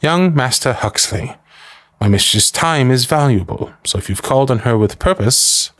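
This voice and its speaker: A man playing a devoted, protective manservant to a noble lady in an ASMR roleplay, speaking formally, with measured deference and dry disapproval. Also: medium pitch, lively intonation, fairly bright tone, dry recording, close to an everyday voice. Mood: coolly polite. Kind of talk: scripted ASMR roleplay acting.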